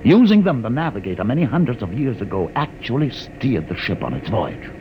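An actor playing a calm, condescending older man who holds a prisoner aboard a starship, speaking in a measured, commanding way in an old radio drama. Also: mid-range voice, muffled recording, close to an everyday voice. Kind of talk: 1950s radio drama acting. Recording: vintage radio broadcast with hiss.

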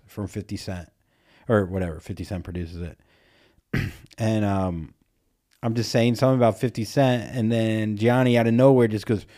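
A man's voice: on the low side, dark tone. A young man co-hosting a podcast, chatting casually, telling loose stories, teasing and swearing freely. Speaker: podcast co-host. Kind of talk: casual chat.

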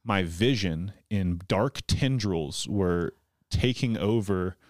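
Male, 30s, podcast guest. Deep, casual, meandering delivery, dry room. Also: takes it slowly, a little mellow, no echo. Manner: rambling, lots of filler words and restarts. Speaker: guest comedian.

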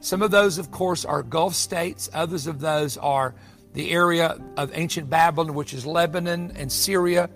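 A man's voice: on the high side, normal speed, fairly bright tone, faint music behind. A man preaching in a Bible-teaching style, explaining things emphatically and restating his points as he goes. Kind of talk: sermon-style Bible teaching, partly off the cuff.

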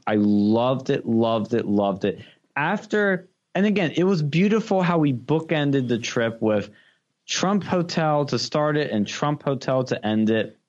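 A man's voice: mid-range voice, muffled recording. A young man telling anecdotes casually and enthusiastically, gushing with repeated superlatives and swearing freely. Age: young adult. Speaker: podcast guest.

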